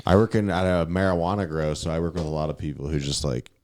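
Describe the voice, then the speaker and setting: A man's voice: low voice, quick pace, a little mellow. A man co-hosting a comedy podcast, easygoing, plugging shows. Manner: short agreeing replies, easygoing. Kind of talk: casual podcast chat.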